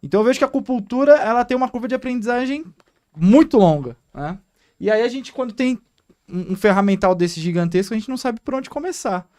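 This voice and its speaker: A man with a Brazilian Portuguese accent, co-hosting a casual podcast and explaining points in an animated way. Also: very high-pitched, fast talker. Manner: explanatory, animated, asks listeners to agree. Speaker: podcast co-host, acupuncturist.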